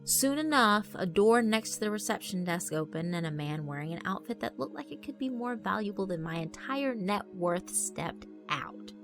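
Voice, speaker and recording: A woman narrates a first-person story in a wry, conversational, expressive way. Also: mid-range voice, normal speed, hardly any pauses. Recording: background music under the voice. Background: background music.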